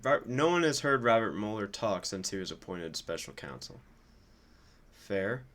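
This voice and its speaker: A man giving informal, off-the-cuff political commentary in a casual, musing way, like a streamer thinking out loud. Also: on the low side, very animated, normal speed.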